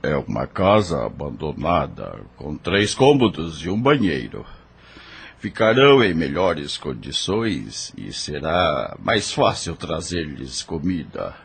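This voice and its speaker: A man playing a deep-voiced protective helper. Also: slow pace, vocal fry, breathy edge, full character voice. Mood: cautious. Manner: steady, practical, cautioning. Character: protective male helper hiding fugitives. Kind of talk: audio drama acting.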